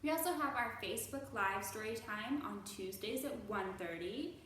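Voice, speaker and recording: A woman hosting a children's storytime, reading and speaking to young listeners with slow, deliberate, expressive phrasing. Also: a little high. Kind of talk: picture book read-aloud for young children. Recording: roomy echo, faint background noise.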